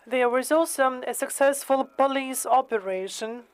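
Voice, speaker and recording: A woman hosting and interpreting into English, speaking carefully with many pauses and fillers. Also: high-pitched. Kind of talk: slow consecutive interpreting in English.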